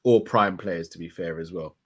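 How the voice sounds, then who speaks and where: A man's voice: low-pitched, normal speed, breathy edge. A man hosting a live call-in chat, reading out viewer messages and laughing often. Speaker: fan channel host.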